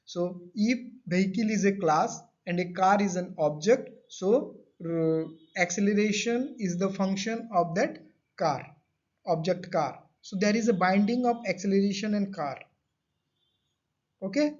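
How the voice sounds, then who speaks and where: Male lecturer, high voice, repeats and rephrases points, muffled lo-fi recording. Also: a little mellow. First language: not Hindi. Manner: explains with everyday examples. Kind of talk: classroom lecture. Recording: dull lo-fi audio.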